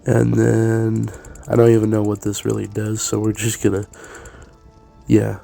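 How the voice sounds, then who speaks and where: Raspy, stuffed-up gamer guy. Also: lowish voice, completely flat delivery, a little slow, warm tone, faint music behind. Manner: hesitant, many fillers. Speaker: let's play gamer. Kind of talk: casual commentary.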